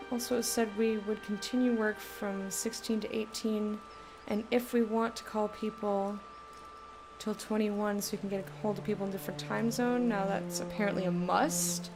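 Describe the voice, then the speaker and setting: A woman's voice: mid-range voice, measured pace, music in the background. A woman who hosts a let's play, reading the game's text aloud and chatting casually.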